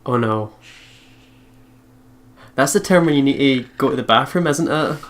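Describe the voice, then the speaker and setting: Female let's play host, low register, unhurried pace, casual joking banter over game music. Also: heavy background noise. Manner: bantering, short reactions. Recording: game music clearly behind the voice.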